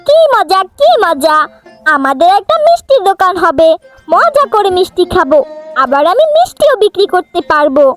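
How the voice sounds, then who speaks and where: Lady doing an excited little cartoon girl, super high bouncy voice, soft music behind. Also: moderate pace. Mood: gleeful. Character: excited, sweet-loving little village girl. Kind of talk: cartoon fairy tale acting.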